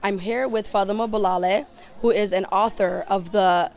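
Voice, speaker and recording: A woman's voice: medium pitch, fairly even intonation, moderate pace, gravelly, a little mellow. A woman news reporter giving a friendly on-location introduction at a library book fair.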